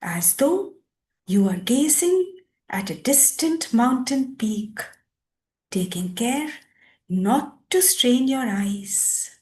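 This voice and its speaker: A woman leading a guided meditation as a teacher, speaking in deliberate, measured phrases with pauses. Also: a little high, lively intonation, a little mellow. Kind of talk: guided meditation with reading aloud and instructions.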